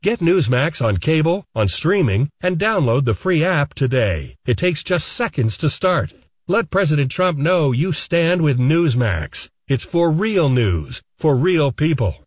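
A man's voice: on the high side, very animated, unhurried, breathy edge, no room sound, studio quality. A man reading a scripted commercial in an upbeat, persuasive tone. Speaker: announcer in a commercial. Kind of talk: scripted ad read.

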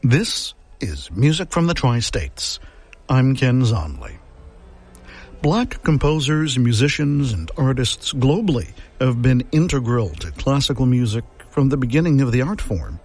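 Male radio host, animated intonation over faint background music; scripted and informative. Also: mid-range voice, breathy edge. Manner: measured, informative delivery.

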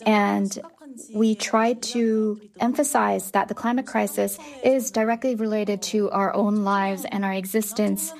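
Female interpreter, medium pitch, relaying a guest's interview answers in the first person.

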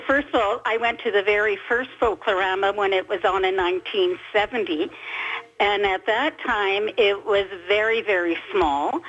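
An older woman phoning a radio show, casually reminiscing in a halting way with small repeats. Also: mid-range voice, fairly bright tone. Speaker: phone-in caller. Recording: dull telephone line to radio.

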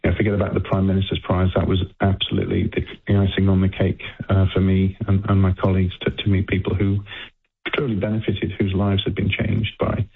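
An older man with a Liverpool accent speaks haltingly as a scientist guest on a phone interview. His low voice is gravelly and husky, flat in tone. The sound is thin and tinny, like a phone line. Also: soft background music. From England. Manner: halting, repeats words while thinking.

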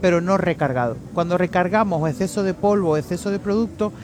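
A man's voice: sits high, a little fast. Middle-aged Canarian man, effusive radio guest, faint background noise. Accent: Canarian Spanish, somewhat softened. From Canary Islands. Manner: effusive, chatty, expressive. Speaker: makeup artist guest. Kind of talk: radio interview.

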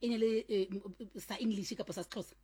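A woman's voice: medium pitch, steady tone, very fast, mellow, husky. A South African woman hosting an interview, asking questions and encouraging her guest with frequent murmurs of agreement. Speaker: interview host. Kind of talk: conversational interview, mixing Sesotho and English.

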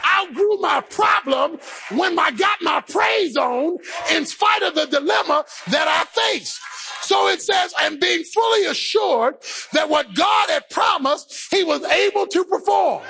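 Male pastor, bright forceful tone, preaches at full shout with emphatic repetition. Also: very high-pitched, vocal fry. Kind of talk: sermon.

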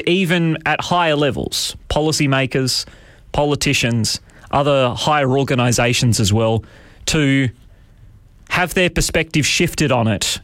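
A man hosting a talk radio show, speaking with a hoarse, raspy voice in a measured, explanatory way. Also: mid-range voice, a bit bright, no room sound, clean studio sound. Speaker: radio host. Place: radio studio.

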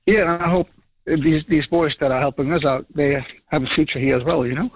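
A man's voice: fairly high pitch, brisk, husky, no room sound. Dutch-accented man on a muffled line. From Netherlands. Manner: hesitant, many ums and restarts. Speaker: dairy farmer, caller. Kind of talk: casual radio phone chat.

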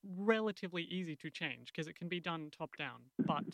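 A man presenting a radio segment, moving between scripted narration and interview questions in a crisp, measured delivery. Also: a little high, animated, no echo, rough recording.

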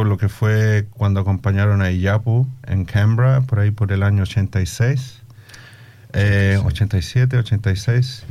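A man's voice: fairly low pitch, mellow. A middle-aged man with a Chilean accent touched by Australian English, speaking reflectively in an interview, searching for words and slipping English into his Spanish. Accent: Chilean Spanish with Australian English influence. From Australia, Chilean immigrant family. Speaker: musician and music educator being interviewed.

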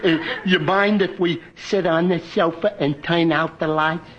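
An actor playing a hesitant, dim-witted, well-meaning sidekick with halting, naive delivery in old-time radio comedy. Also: male voice, a little high, steady tone, a bit bright. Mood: naive, eager. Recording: dull, very noisy old radio recording.

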